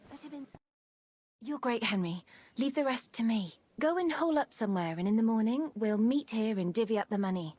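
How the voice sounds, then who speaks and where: A woman's voice: a little high, expressive, fairly quick, warm tone, slight background noise, close to an everyday voice. An actress playing a scheming, foul-mouthed, bossy woman in a video game, speaking in a conspiratorial, coarse way. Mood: urgent, commanding. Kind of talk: dramatic video game voice acting.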